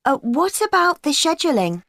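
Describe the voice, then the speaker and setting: An actress playing a keen young student in a scripted exam dialogue, agreeable and quick to laugh. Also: female voice, sits high, big pitch swings, a bit bright, dry recording. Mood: earnest. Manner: eager, agreeable, laughs often. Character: keen young female events-management student. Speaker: student planning a work placement. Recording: dry studio recording.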